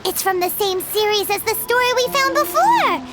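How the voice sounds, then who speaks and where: A woman voicing a small, childlike, excitable fairy companion in a video game, squeaky and bubbly with chatty exclamations. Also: normal speed, background noise. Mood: excited, explaining. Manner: bubbly, exclamatory, refers to self by name. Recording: background music under the voice.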